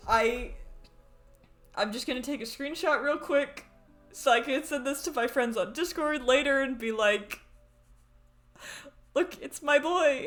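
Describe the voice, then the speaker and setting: A woman from Canada, chatting excitably and rambling while she live-streams a game. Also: high voice.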